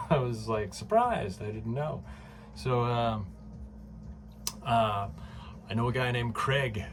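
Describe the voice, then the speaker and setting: A man vlogging casually at home into his phone camera, chatty and excitable, with many fillers and frequent laughs. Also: medium pitch, slow pace, long pauses, background noise.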